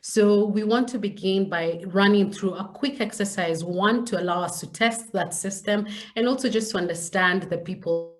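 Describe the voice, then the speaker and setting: A woman's voice: mid-range voice, normal speed, hardly any pauses, faint music behind. A woman with a Kenyan accent introducing herself and leading a training webinar as a presenter. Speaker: science communication trainer, session presenter.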